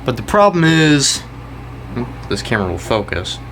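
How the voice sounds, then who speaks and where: A young American man giving a casual, off-the-cuff show-and-tell, talking in a halting, rambling way and often correcting himself. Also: mid-range voice, sing-song. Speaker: hobbyist video creator. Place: indoors in a house. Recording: noisy room with air-conditioner hum.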